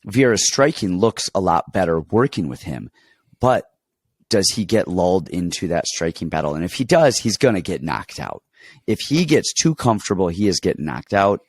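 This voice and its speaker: A deep-voiced man, stuffed up and congested, rambles through a casual fight breakdown. He tells animated stories full of restarts and asides. The sound is close and dry, with no room around it. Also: normal speed, no echo. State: congested, getting over an illness. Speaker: podcast co-host and fight coach. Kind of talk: casual sports betting chat.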